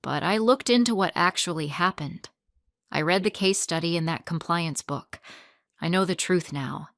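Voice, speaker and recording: A woman narrating a first-person story in a calm, even storytelling manner. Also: lowish voice, normal speed, dry recording, studio quality.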